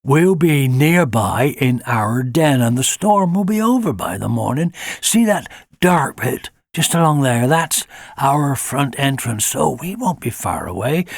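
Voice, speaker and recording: A man voicing a brisk, stern adult male fox who scolds in a fatherly way. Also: mid-range voice, lively intonation, dry recording, clean studio sound. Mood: gentle.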